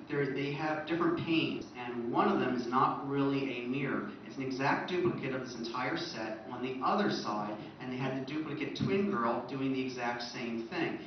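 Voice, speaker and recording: A man giving an informal illustrated lecture as a presenter at a fan convention, recorded in an echoey room from a distance. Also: mid-range voice. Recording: echoey room, distant muffled mic, faint noise.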